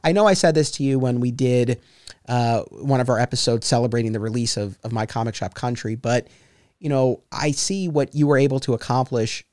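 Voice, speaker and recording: A man's voice: medium pitch, brisk, darkish tone, no echo, studio quality. A man hosting a podcast, rambling a little with lots of fillers and restarts. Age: 30s. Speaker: podcast host. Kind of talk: casual interview chat.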